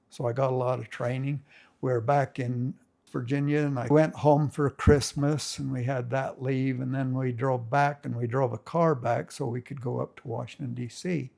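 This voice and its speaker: A man's voice: medium pitch, gravelly, darkish tone. An older man casually reminiscing as a family storyteller, rambling with folksy grammar and many restarts.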